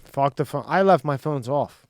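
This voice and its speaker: A man's voice: a little high, animated, normal speed, no echo. A man hosting a podcast in a studio, laid-back and agreeable, swearing casually as he chats. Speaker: podcast host. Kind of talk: casual conversation. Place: studio with a green screen.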